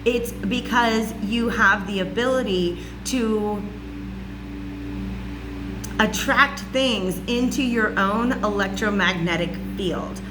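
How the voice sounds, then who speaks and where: A loud woman with a raspy voice gives a chatty spiritual lesson at home and often checks in with "okay?". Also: mid-range voice, takes it slowly, music in the background, low-quality recording. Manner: chatty, says "okay?" and "all right?" often. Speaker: spiritual channel host. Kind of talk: casual teaching monologue. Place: warm room at home with a fan running. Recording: home recording with clear hum.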